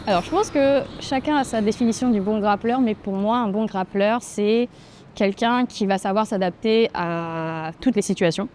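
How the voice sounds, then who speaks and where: A woman in her twenties from French Guiana, a grappling athlete answering interview questions in a thoughtful, hesitant way with frequent pauses and fillers. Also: medium pitch, darkish tone, a little hiss or hum. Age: 20s. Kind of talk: documentary interview.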